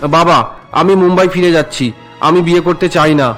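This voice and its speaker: A man's voice: on the high side, normal speed, fairly bright tone, faint music behind, natural voice. An actor voicing a resentful young man, an unwilling groom in a cartoon, whiny and indignant. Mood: protesting.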